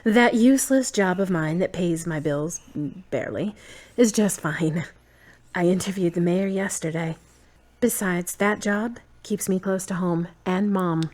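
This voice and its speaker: A woman playing a wry, thoughtful grown daughter in an audio drama, measured and dry. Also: lowish voice, darkish tone. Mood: dry, affectionate. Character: wry, guarded adult daughter, thoughtful and independent.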